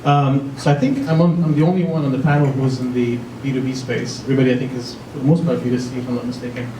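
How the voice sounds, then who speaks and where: A man introduces himself on a conference panel, friendly and brisk, and he talks quickly. He sounds distant and echoey, caught from across a large room. Steady background noise sits clearly under his voice. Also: mid-range voice. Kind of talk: panel talk. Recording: echoey room from far off, noisy.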